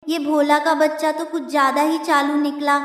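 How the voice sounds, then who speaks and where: A woman's voice: sits high, little pitch movement, normal speed, fairly bright tone, no echo, studio quality. A woman voicing a greedy, scheming male village clerk in a children's cartoon, sly and taunting. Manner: sly taunting, repeats a catchphrase.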